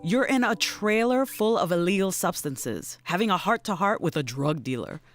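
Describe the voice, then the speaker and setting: A woman's voice: on the low side, animated, normal speed, soft background music, natural voice. A woman playing a laid-back, cynical drug dealer in an audio drama, speaking in slangy, blunt, teasing language. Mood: wry, philosophical. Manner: slangy, drawling, blunt, philosophizing. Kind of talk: naturalistic audio drama acting.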